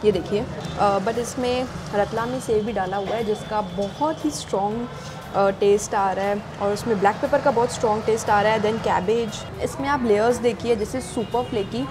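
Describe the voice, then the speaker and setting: A woman's voice: medium pitch, moderate pace, noisy background. Chatty woman tasting street food. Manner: chatty, mixing Hindi and English, tasting on camera. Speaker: food vlogger. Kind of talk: casual food review vlog. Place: busy indoor street food shops.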